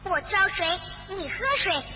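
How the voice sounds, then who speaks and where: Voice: extremely high pitch, unhurried, dull audio, over music. A performer voicing a cheeky, argumentative little boy monk with a childlike, singsong delivery in a children's audio story. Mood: indignant.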